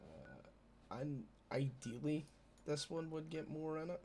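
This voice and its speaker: A man's voice: on the high side, very animated, slow pace, a little mellow, slight background noise. A man with a Scottish accent streaming a video game, chatting casually, swearing freely and bantering with his viewers. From Scotland. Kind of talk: casual gameplay commentary.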